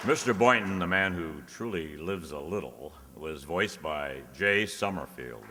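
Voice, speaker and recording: Male announcer, low register, live cast credits; echoey, noisy auditorium. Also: expressive, a little slow, a crowd in the background. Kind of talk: live curtain-call introductions. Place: large indoor auditorium, on stage.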